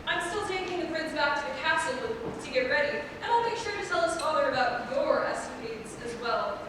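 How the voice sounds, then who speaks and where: A woman's voice: high voice, expressive, brisk. A woman playing a stern, officious old male royal advisor in a staged play reading, formal and scolding. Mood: smug, firm. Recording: echoey hall, distant mic, background noise.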